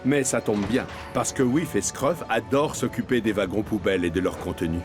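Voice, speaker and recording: A man's voice: lowish voice, fairly quick, over music. A man narrating a children's cartoon in a scripted storytelling style.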